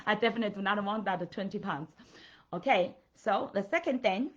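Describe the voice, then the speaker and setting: A woman's voice: medium pitch, moderate pace, lo-fi sound. A middle-aged woman from China with a Chinese accent, a coach giving a motivational talk, full of fillers and often checking in with "right?" and "okay?". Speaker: life and business coach.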